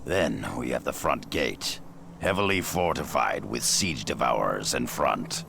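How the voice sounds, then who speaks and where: Grim beast warrior, extremely deep growl, slow deliberate delivery, proud, commanding, video game fantasy. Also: male voice, expressive, vocal fry, noisy background, heavily acted. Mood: grim, measured. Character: grim, vengeful beast-warrior rebel leader, proud and cynical. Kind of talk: dramatic video game acting.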